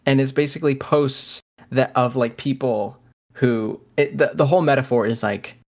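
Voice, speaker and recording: A man's voice: mid-range voice, fairly even intonation, normal speed, darkish tone. Man chatting, slightly dull recording. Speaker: podcast co-host. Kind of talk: casual conversational podcast chat. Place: at home, recording remotely.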